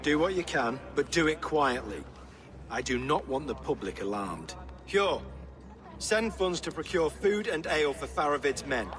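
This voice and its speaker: A man's voice: on the high side, very animated, moderate pace, a bit bright. An actor playing a weary, irritable Viking king in a video game, curt and imperious. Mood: commanding. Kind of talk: dramatic video game acting. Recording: music underneath.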